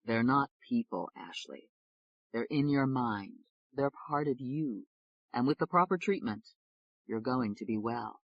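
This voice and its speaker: A woman voicing a modest, earnest young male lawyer, speaking in a quiet, measured way. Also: a bass voice, lively intonation, no echo, muffled recording.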